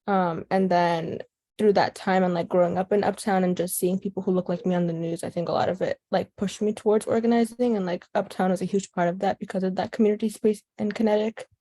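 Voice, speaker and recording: Mellow young American woman, reflective. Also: mid-range voice, steady tone, fast talker. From Chicago, US. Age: teens to young adult. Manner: casual, thoughtful, frequent "like". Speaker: youth organizer being interviewed. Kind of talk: reflective oral history interview.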